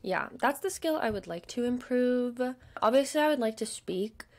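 A young woman with a stutter, chatting casually at her desk about shopping, with lots of fillers and soft murmured asides. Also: fairly high pitch, lively intonation, normal speed. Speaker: vlogger. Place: home desk.

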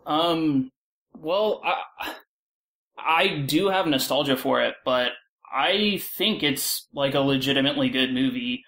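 A man talking casually as a panel guest, halting and peppering his speech with filler words. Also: medium pitch, a bit bright. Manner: halting, filler-heavy, frequent "like". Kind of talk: casual discussion.

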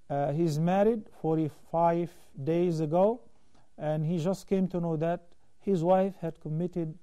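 A man in his thirties, an Islamic scholar hosting a call-in TV show, explaining rulings in a measured way from a studio desk on a lapel mic. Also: a little high, mellow. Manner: measured, explanatory, gestures while talking. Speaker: Islamic scholar answering viewer questions. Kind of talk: call-in religious Q&A.

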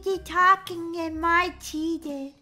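A woman voicing a cheeky toddler girl with babyish, halting speech in a children's game roleplay. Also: very high-pitched, steady tone, bright, forceful tone, exaggerated voice. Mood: tattling. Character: cheeky toddler girl, innocent little tattletale.

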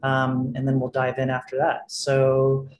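A man moderating an online panel from home, relaxed and full of fillers. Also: medium pitch, measured pace. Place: home room, video call. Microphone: video call. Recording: roomy video call audio with faint street noise. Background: faint street noise.

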